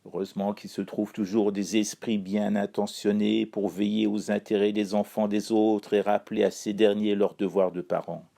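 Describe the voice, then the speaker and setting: A man from Iran reading his own spoken column in a wry, ironic, measured way. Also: on the low side, level tone, creaky, mellow. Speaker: chronicler. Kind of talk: read-aloud spoken column.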